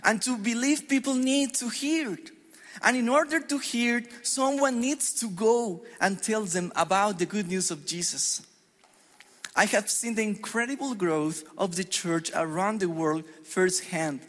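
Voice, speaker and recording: A middle-aged man from Colombia with a Colombian Spanish accent, preaching a sermon in an earnest, measured way. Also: high-pitched, fairly bright tone. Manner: earnest, measured preaching with deliberate pauses on scripture. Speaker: pastor. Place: church hall. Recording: long hall reverb.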